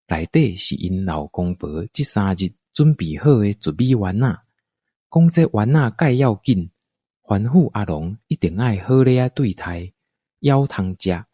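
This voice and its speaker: A man narrates a scripted adventure tale with a Taiwanese accent. His voice is fairly low and husky, recorded dry and close. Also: dark tone, no echo.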